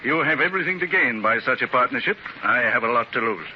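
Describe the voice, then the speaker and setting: A man's voice: medium pitch, expressive, fast talker, lightly acted. A man playing a brusque, smug business rival. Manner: brusque, self-assured. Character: confident blunt male business rival. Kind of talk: 1930s radio drama acting. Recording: dull faintly hissy 1930s transcription.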